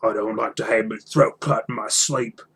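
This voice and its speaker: A man's voice: fairly low pitch, steady tone, normal speed, a bit bright, breathy edge, full character voice. A big, surly storybook troll, acted out by a man. He talks in coarse, rustic slang, suspicious and quarrelsome, with a brutish edge to everything he says. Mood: surly, threatening. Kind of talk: storybook character voice.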